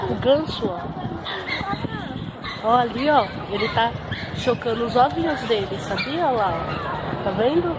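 Brazilian mum filming kids in a noisy crowd. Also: female voice, a little high, animated, measured pace, pauses often. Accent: Brazilian Portuguese. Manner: chatty, points things out to the children, coaxing and warning. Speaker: family vlogger and mother. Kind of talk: casual narration while filming her kids. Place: outdoor park and busy animal fair. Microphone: handheld phone camera. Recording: loud crowd and outdoor noise. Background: crowd chatter.

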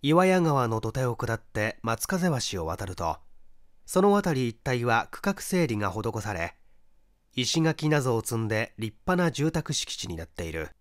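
A man's voice: mid-range voice, sing-song, unhurried, dry recording, studio quality. A man reading a mystery story aloud as a dramatic scripted narration. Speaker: audiobook reader, stage actor.

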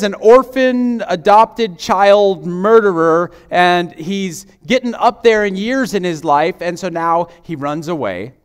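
A man's voice: high-pitched, smooth. Man preaching in a reverberant hall. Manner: prays softly, then preaches with rising, emphatic intensity. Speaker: pastor. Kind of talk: sermon. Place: church stage, large auditorium.

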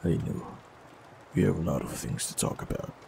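Man, very low gravelly voice, calm and gentle warlock read, lively pitch, noticeable hiss. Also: normal speed. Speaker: warlock in an audio roleplay. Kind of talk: scripted audio roleplay, speaking to the listener.

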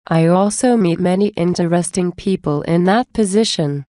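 A woman reading English example phrases for a language lesson, saying many of them twice in a measured way. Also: fairly low pitch, dark tone, no room sound, studio quality. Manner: measured, repeats each phrase slowly. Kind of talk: slow scripted phrase reading.

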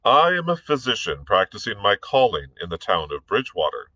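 A man's voice: fairly low pitch, sing-song, brisk, pushed and bright, no echo, heavily acted. A man reading aloud, voicing a witty, composed physician. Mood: wry. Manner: wry, composed. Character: witty, cool Irish physician, middle-aged man.

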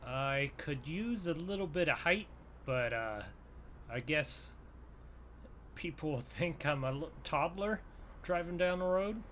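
A man's voice: on the high side, animated, slow pace. A man giving casual, folksy gameplay commentary in roleplay, thinking aloud as he goes. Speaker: gaming video host. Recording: loud vehicle engine noise behind. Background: game truck engine sounds.

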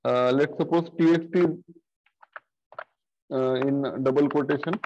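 A middle-aged man teaching programming over a screen-share video call, talking slowly and haltingly with many fillers and repeated okays. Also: mid-range voice, fairly even intonation, darkish tone. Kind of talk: screen-share coding lesson. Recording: dull lo-fi sound.

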